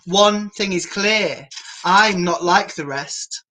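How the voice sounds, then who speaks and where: A woman reading a picture book aloud to children as a storyteller, in an animated, encouraging and theatrical manner. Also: lowish voice, slow, low-quality recording.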